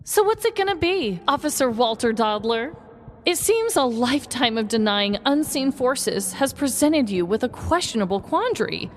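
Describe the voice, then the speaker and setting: A woman plays a pious female deputy in a comic audio story, high-voiced and swooping. She delivers solemn challenges in a grandiose, theatrical way, drenched in long reverb. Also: very animated, moderate pace. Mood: solemn, challenging. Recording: long hall reverb, music behind.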